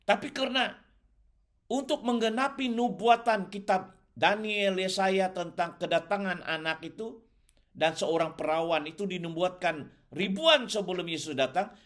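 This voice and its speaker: A man's voice: sits high, fairly bright tone. A man teaching a theology class online, explaining his points and repeating them with "ya" and "so", mixing in English words. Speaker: theology lecturer. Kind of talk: online Bible lecture.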